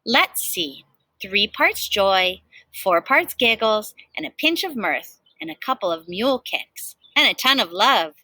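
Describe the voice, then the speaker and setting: A woman voicing a cheerful, warm-hearted, folksy woman cook from a storybook, singsong with dropped word endings. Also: mid-range voice, sing-song, unhurried, no echo, exaggerated voice. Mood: singing out, playful. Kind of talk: storybook character voice.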